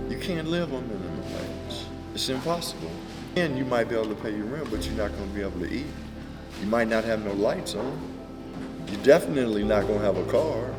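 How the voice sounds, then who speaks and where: Slow, low-voiced man, music behind. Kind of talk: unscripted interview remarks.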